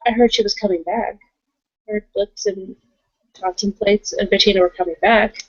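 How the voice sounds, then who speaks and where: A woman's voice: medium pitch, steady tone, normal speed, breathy edge, natural voice. A woman playing a young, candid werewolf in an improvised roleplay, casual and blunt as she questions things. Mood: casual, questioning. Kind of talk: improvised in-character roleplay conversation. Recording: dull lo-fi voice recording.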